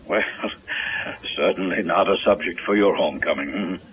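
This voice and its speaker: Obsessed widower dad, low theatrical voice, old muffled radio drama recording. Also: male voice, a little slow, slight background noise. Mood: brooding. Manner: formal, ornate, theatrical phrasing. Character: grieving, obsessive widowed father, a reclusive doctor. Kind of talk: 1970s radio drama acting. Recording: dull lo-fi vintage radio recording.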